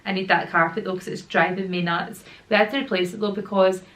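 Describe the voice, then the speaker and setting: A woman in her thirties with a Glaswegian Scottish accent talks through a casual question-and-answer chat at home. Her voice sits at an ordinary middle pitch, a little rough from a sore throat. She rambles happily and slips into slang. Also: fairly even intonation, brisk, a bit bright. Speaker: YouTuber and mum. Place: at home with children nearby.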